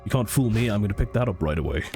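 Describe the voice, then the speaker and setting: A man's voice: lowish voice, lively intonation, dark tone. A man with a cold and a sniffly voice, casually commentating a horror game and reading its notes aloud. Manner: chatty, thinks aloud, reads text slowly. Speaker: let's play gamer. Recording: faint game music behind.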